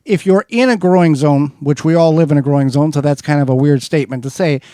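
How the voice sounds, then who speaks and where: A man with a high-pitched voice hosts a gardening show, explaining things in a folksy, rambling way full of restarts. The studio sound is dry and close. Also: fast talker, warm tone, dry recording. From Wisconsin. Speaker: radio show host. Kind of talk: casual gardening advice chat.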